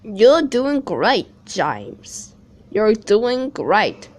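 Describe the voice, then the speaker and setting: A woman's voice: sits high, huge pitch swings, slow pace, noisy background, pitched above the performer's own voice, exaggerated voice. Woman voicing a kind, encouraging engine. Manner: gentle and encouraging. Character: steady kind male green engine, helpful.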